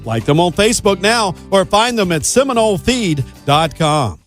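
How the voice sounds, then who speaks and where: High-voiced man reading an ad. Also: sing-song, moderate pace, soft background music. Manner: polished, promotional. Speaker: ad announcer. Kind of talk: scripted ad read.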